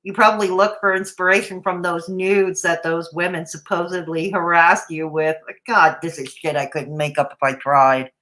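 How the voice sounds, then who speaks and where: A woman's voice: lowish voice, steady tone, moderate pace, few pauses, fairly bright tone, poor audio. A woman streamer giving sarcastic, mocking commentary on a live stream.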